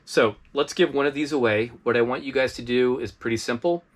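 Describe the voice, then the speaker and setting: Relaxed male host, mid-pitched, chatty product-review delivery with plenty of fillers. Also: a little fast. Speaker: host of a preparedness review channel. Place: inside an RV.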